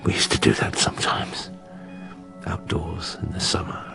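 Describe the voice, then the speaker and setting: An actor playing a weak, self-pitying adulterous husband in a radio drama, confessing to murder in a halting murmur. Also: male voice, low voice, vocal fry, over music. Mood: anguished confessing.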